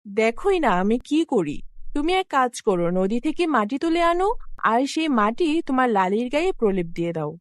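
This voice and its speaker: A fairly high female voice from an actress plays a good fairy in a cartoon folk tale. She is grand and commanding yet benevolent, with very lively intonation. The sound is dry and close. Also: fairly quick, no room sound. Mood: kindly, instructing. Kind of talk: acted animated fairy-tale dialogue.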